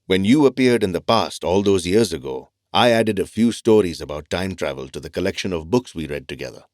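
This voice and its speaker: An actor playing a thoughtful, reassuring doctor who explains things calmly in an audio drama. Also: male voice, low voice, dry recording, clean studio sound. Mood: reflective, explaining. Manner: measured, explanatory, calm. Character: thoughtful, reassuring male doctor and family mentor.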